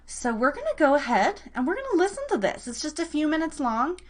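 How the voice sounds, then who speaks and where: A woman's voice: sits high, very animated, a little fast. A woman hosting a live horror story podcast, chatting casually and informally, repeating words for emphasis. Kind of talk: casual live show chat.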